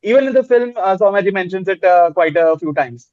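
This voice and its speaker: A man's voice: sits high, lively intonation, a little fast, no room sound, narrow, tinny audio. A man hosting a film panel, asking questions with many fillers and hesitations. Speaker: host. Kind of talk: panel interview.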